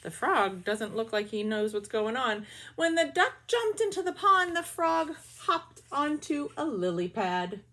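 Teacher, 40s, breathy voice, bouncy storybook reading with quiet asides, home webcam. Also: female voice, a little high. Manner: lively, drawn-out storybook reading with soft asides. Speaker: teacher reading picture books aloud. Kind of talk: children's read-aloud with comments. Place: small bedroom at home.

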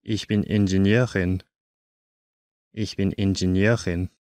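A man teaching a language course, saying each short phrase twice in slow, careful drills. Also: deep voice, little pitch movement, a little mellow, no room sound.

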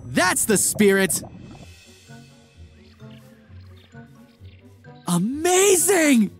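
A man acts a money-minded know-it-all scientist for a kids' gaming roleplay. He is pompous and bossy, with a dramatic, highly animated delivery over background music. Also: takes it slowly, heavily acted. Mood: smug, bossy.

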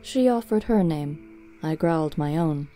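A woman's voice: deep voice, expressive, normal speed, warm tone, faint music behind. A woman narrator giving a storybook reading of fiction.

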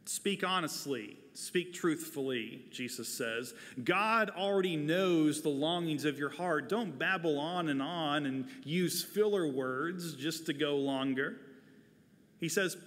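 A man's voice: on the high side, fairly bright tone. An American man in his forties, a pastor delivering a sermon from a church pulpit. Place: large church sanctuary, at a wooden pulpit. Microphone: lapel mic. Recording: reverberant church hall.

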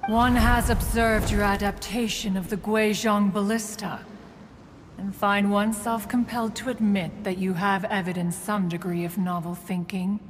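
A proud, ancient female crane immortal from a video game, performed by a woman. She speaks in stately, archaic phrases as if passing judgment, on the lower side in pitch. Long reverb surrounds the voice. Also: fairly even intonation, natural voice. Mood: aloof, appraising. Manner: haughty, formal, archaic, measured speech. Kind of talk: scripted video game voice acting. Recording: long reverb with faint background noise.

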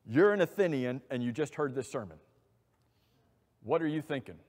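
A middle-aged man preaching a sermon from a church pulpit, animated and asking many rhetorical questions. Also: mid-range voice, normal speed. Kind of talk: expository sermon. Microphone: lapel mic. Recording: long hall reverb.

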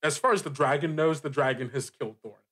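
A man improvising narration as a game master, casual and halting, with lots of filler words. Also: fairly high pitch, a bit bright. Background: music bed.

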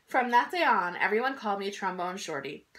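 A woman in her thirties reading a children's picture book aloud in an expressive, emphatic storytelling style. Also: mid-range voice, brisk, poor audio. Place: small indoor room at home.

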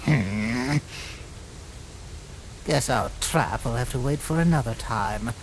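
A woman voicing an excitable, boastful young male skeleton trap-maker with grandiose delivery in a comic dub. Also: very deep voice, slow pace, a little hiss or hum, exaggerated voice, poor audio. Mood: bored, gloomy. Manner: theatrical, grandiose, dramatic. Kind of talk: animated comic dub acting.

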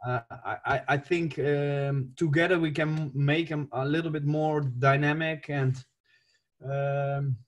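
A man in his thirties with a Dutch accent, a football coach giving an online presentation from an attic room over a laptop mic, halting and searching for English words. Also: on the high side, steady tone.

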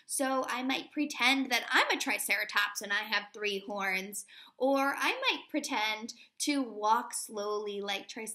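A woman's voice: fairly high pitch, measured pace, bright, forceful tone. A woman in her thirties, a primary school teacher, reading aloud to young children and explaining things simply with questions along the way. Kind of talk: children's read-aloud lesson.

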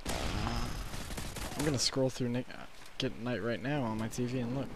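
An eighteen-year-old man with a hoarse, sore-throated voice, rambling through casual late-night gameplay commentary from his bedroom with lots of fillers. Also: mid-range voice, animated, noisy background. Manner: rambling, halting with many fillers. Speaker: gaming commentator.

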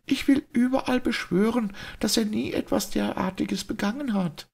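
Brave young lady, put on by a male reader: high, trembling, clear, low in volume. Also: moderate pace, darkish tone, heavily acted. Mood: composed. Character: young noblewoman, brave, defiant daughter. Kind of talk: audiobook reading.

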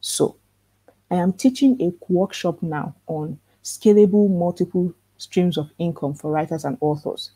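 Soft-spoken woman coaching a live workshop. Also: lowish voice, measured pace, husky, dry recording, poor audio. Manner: earnest, repetitive coaching, asks rhetorical questions. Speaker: workshop host teaching authors. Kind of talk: live online workshop lecture. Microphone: computer mic in a live webinar.

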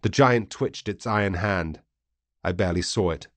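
A man reading a first-person story as an audiobook narrator, grave, theatrical and deliberate. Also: sits low, no echo.

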